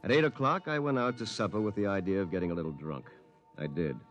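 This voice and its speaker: A man's voice: on the low side, fast, soft background music, lightly acted. An actor narrating as a weary, rueful lawyer telling his own story in a 1950s radio drama.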